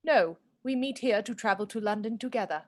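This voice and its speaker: A woman's voice: on the high side, brisk, a little mellow, natural voice. A woman playing a proud, indignant young noble maiden in a radio play, formal and haughty. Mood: offended. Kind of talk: theatrical radio play acting.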